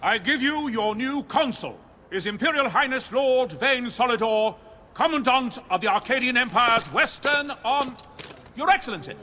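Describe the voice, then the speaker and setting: Forceful, very high-pitched imperial herald. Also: male voice, lively intonation, moderate pace, heavily acted. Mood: commanding. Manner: declamatory, formal. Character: stern imperial herald announcing to a crowd. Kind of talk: video game acting.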